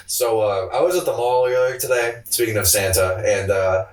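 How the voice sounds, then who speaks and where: Man, lower voice, casual podcast banter, sarcastic and sweary, echoey room, away from the mic. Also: normal speed. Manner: sarcastic joking, sweary slang. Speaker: podcast co-host. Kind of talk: casual comedic podcast chat. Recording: roomy echo, distant from mic.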